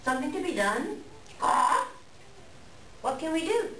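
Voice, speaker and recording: A woman playing an excitable, questioning raven who punctuates speech with croaking caws in a dramatic staged reading. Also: high-pitched, unhurried, exaggerated voice. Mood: eager, questioning. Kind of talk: dramatic reader's theater performance. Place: live staged reading in a library room. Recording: roomy, distant live recording, faint noise.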